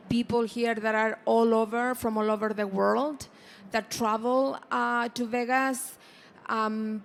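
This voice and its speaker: Chilean-born woman founder, flat halting delivery, faint ambience. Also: a little high, creaky, slight background noise. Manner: hesitant, restarts phrases. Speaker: startup founder on a panel. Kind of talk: panel discussion. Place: conference stage.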